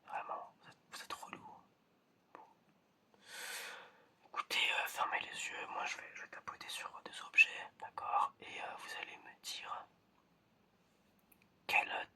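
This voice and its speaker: A person whispering in a breathy, almost voiceless way in an ASMR roleplay as an examiner, halting and repeating short phrases. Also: sharp tone. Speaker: ASMR creator playing an examiner giving a five senses test.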